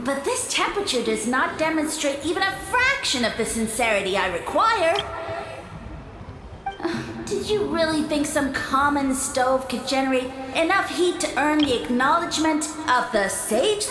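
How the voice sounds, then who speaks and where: A haughty mushroom sage lord drawls slowly and scornfully from up high. The voice rings with a roomy echo over game music. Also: female voice. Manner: arrogant, scornful, sighing. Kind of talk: video game voice acting.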